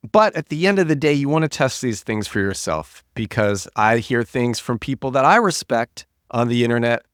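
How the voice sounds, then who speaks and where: Man with a cold, talking low. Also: no echo, clean studio sound. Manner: animated, restarts and repeats words. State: getting over a cold, low husky voice. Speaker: podcast host. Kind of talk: solo podcast monologue.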